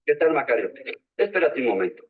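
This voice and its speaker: Brisk, dismissive man in a vintage film, husky, thin distant sound. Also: on the high side, sing-song, narrow, tinny audio.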